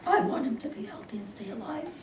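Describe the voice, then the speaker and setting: Woman as concerned team physician, blunt, high voice, staged reading, distant echoey noisy recording. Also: big pitch swings, fast.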